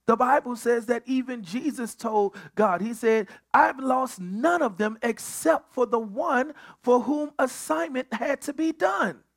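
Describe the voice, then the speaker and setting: A man's voice: very high voice, unhurried, vocal fry, few pauses. A male pastor preaching at a midweek Bible study, building on repeated phrases and often stumbling over restarts.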